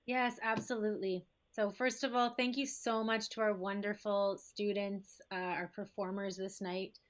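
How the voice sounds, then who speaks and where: Gracious woman, mid-pitched voice. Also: level tone, normal speed. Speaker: co-host, teacher. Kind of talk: show announcements.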